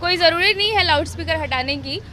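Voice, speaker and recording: A woman's voice: very high-pitched, a little fast, pushed and bright. A woman working as a street reporter, interviewing passers-by. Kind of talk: vox pop interviewing. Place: outdoor street in a busy area. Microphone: handheld reporter microphone. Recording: busy street with crowd and traffic.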